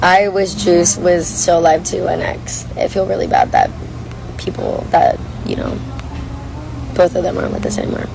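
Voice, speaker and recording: A young woman with a hoarse, worn voice chats casually while reading viewer comments on a livestream from a coffee shop. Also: lowish voice, wildly swooping pitch, normal speed, noisy background. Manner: chatty, rambling, reads comments aloud. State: hoarse, lost voice. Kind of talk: casual chat.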